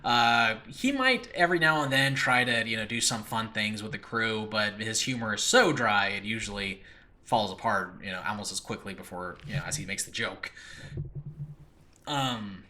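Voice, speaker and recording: A man's voice: lowish voice, moderate pace, sharp tone, a little hiss or hum. A man hosting an informal solo talk about his own fictional world, rambling and often interrupting himself with asides. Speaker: podcast host and audio drama creator. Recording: makeshift home recording.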